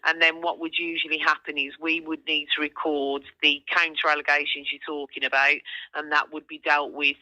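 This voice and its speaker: Sharp, bright woman on phone. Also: deep voice, little pitch movement, fairly quick, dry recording. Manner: methodical, polite, probing, clarifying. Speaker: police professional standards officer. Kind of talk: procedural phone interview. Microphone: phone call. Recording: tinny telephone line, dry.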